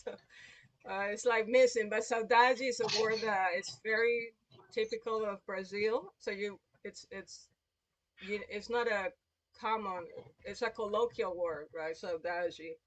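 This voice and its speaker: A woman's voice: mid-range voice, steady tone, measured pace, rough recording. A woman hosting a live show in casual, explanatory conversation. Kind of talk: casual chat. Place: online livestream call.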